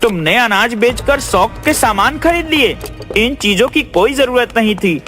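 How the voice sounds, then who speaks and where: A man's voice: extremely high pitch, lively intonation, unhurried, sharp tone, faint music behind, heavily acted. A man playing an honest, dutiful young farmer in a Hindi moral story, speaking in an earnest, reproving way.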